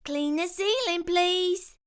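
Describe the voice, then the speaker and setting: A woman's voice: very high voice, slow, pitched above the performer's own voice. A woman voicing a bold, unflappable backwoods cook who speaks in plain, folksy country talk. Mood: bossy.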